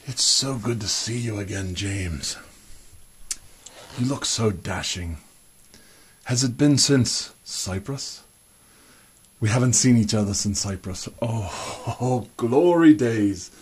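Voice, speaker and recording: Spy roleplay gadget-man, acted by a man: put-on British accent, slow and genteel, nostalgic old-guard charm, some background noise. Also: fairly low pitch, animated. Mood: fond, nostalgic. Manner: genteel, reminiscing, old-fashioned turns of phrase. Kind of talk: improvised one-sided spy roleplay, addressed to the listener.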